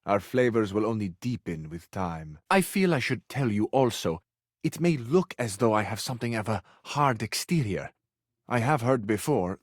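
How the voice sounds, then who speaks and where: An actor playing a flowery, sensual, effusive Italian suitor who flirts overzealously in a video game, with an Italian accent. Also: male voice, mid-range voice, no room sound, studio quality.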